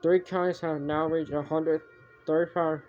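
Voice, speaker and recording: A man improvising homemade mock emergency broadcast announcements, haltingly, often repeating and correcting himself. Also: on the high side, level tone, darkish tone, faint music behind. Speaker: amateur narrator of a homemade emergency alert scenario. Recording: muffled homemade recording with background noise.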